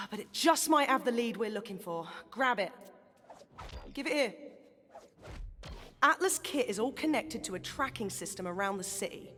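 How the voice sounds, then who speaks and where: Feisty British soldier woman, animated. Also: a little high, normal speed, pauses often, long reverb tail, slight background noise. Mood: intent. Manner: blunt, slangy. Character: feisty, exasperated female soldier leading an investigation. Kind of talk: video game voice acting.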